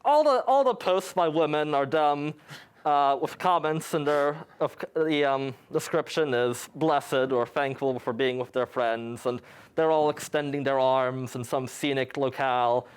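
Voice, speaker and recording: A young man from New York sits in as a guest in a campus TV studio. His voice is pitched in the middle range. He talks deadpan and delivers grand claims with mock solemnity. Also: a little slow, clean tone. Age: young adult. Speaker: student guest. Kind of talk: tongue-in-cheek interview answers.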